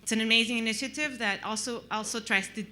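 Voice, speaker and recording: This is a woman, putting a question to a panel from the audience. Her voice sounds bright and sharp. She speaks hesitantly, with frequent ums and restarts. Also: fairly high pitch, steady tone, quick pace, a little hiss or hum. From Peru and Costa Rica. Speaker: audience member asking a question. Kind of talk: question from the floor. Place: conference hall.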